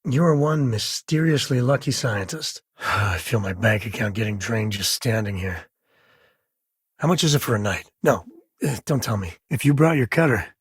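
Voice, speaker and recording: A man playing an easygoing, wry space-faring rogue and protective father in a video game, with a husky, rough-edged voice and a casual, folksy manner. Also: medium pitch, no room sound, clean studio sound, lightly acted. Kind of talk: video game character voice lines.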